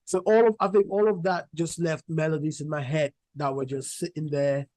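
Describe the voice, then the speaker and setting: Higher-voiced man, relaxed and rambling. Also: normal speed, no echo. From Cameroon. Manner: relaxed, rambling with many restarts and fillers. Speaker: Afrobeats artist, guest. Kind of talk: casual interview answers.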